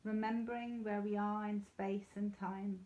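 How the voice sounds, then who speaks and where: Female yoga nidra guide: unhurried, reassuring, dark relaxed tone, slightly dull recording. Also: medium pitch. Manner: soothing, unhurried, gently reassuring. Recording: slightly dull, echoey room sound.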